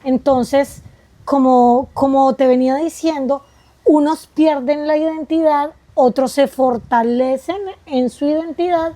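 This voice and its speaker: High, mellow woman musing. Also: steady tone. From Colombia. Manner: spiritual, musing, quick asides with rhetorical questions. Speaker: guest. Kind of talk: reflective conversation.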